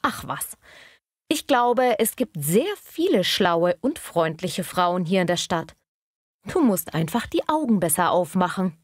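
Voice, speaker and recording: An actress plays an encouraging, curious female friend in a language-lesson dialogue. Her intonation moves up and down freely and she articulates clearly. The sound is close and dry. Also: on the low side, very animated, measured pace, no room sound. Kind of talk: scripted language-learning dialogue, clearly enunciated.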